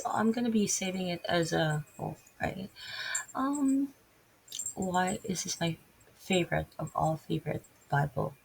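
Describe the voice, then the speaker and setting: A middle-aged woman with a Filipino accent, talking casually and haltingly to her channel with many restarts. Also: low-pitched, vocal fry, husky, poor audio. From Philippines. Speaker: channel host. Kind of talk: casual unscripted video talk.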